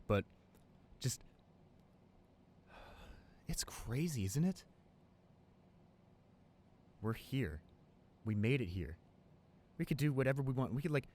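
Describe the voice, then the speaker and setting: A man's voice: on the low side, darkish tone, slight background noise, lightly acted. Man playing a giddy, sentimental college boyfriend. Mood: wondering, excited. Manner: gushing, halting, repeats himself in amazement. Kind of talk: improvised ASMR boyfriend roleplay.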